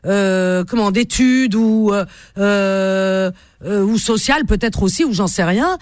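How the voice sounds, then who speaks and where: A woman's voice: mid-range voice, slow pace, dry recording, studio quality. A woman hosting a radio call-in show, lecturing listeners insistently and repeating her points.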